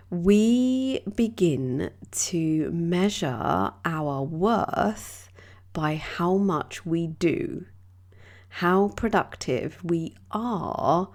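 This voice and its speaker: A woman hosting a wellbeing podcast, speaking gently with a slightly croaky voice. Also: lowish voice. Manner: soft, gentle, unhurried delivery with drawn-out phrases. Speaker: yoga and wellbeing podcast host. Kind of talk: reflective wellbeing talk.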